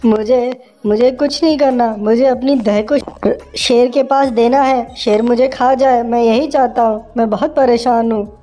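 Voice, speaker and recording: Brief: woman voicing a gentle poor priest, earnest and pleading, mellow tone over faint music. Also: a little high, steady tone, measured pace, voice pushed up, close to an everyday voice. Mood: weary. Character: poor honest village priest, gentle and despairing man.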